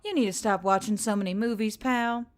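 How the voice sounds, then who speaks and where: A woman's voice: medium pitch, fairly quick, lightly acted. A woman voicing a brash, mocking action heroine in a narrated story, with music behind her. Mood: annoyed, mocking. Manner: mocking, foul-mouthed, cocky. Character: brash, sarcastic, swaggering action heroine named Emily.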